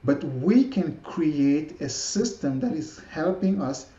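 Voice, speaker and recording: Halting man with a French accent. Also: on the high side, animated, warm tone. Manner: halting, repeats words while searching. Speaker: podcast guest, coach. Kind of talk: casual interview talk. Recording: roomy, dull lo-fi sound.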